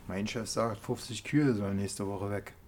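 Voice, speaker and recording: Plain-spoken doubtful farmhand, played by an actor. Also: male voice, fairly low pitch, steady tone, normal speed, a little mellow, slight background noise, close to an everyday voice. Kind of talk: naturalistic radio play dialogue.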